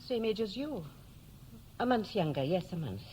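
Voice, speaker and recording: A woman's voice: medium pitch, big pitch swings, moderate pace, dark tone, close to an everyday voice, poor audio. An actress playing a middle-aged, fussing, protective and reproachful mother of grown sons in a 1960s radio play. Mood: fussing, probing. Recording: hissy 1960s radio recording.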